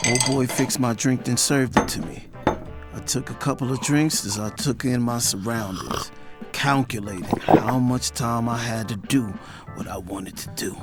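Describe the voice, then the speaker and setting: A man's voice: medium pitch, a little slow, lightly acted. A man playing a brooding man hunting for answers, narrating in the first person for an audio drama. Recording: music behind the voice.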